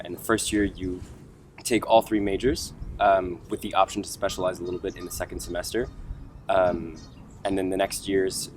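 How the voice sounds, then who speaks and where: A young man from New York City, a university student, introducing himself and his studies in a steady, explanatory way. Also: low-pitched, a little hiss or hum. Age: young adult. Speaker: alumnus guest. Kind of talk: podcast self-introduction.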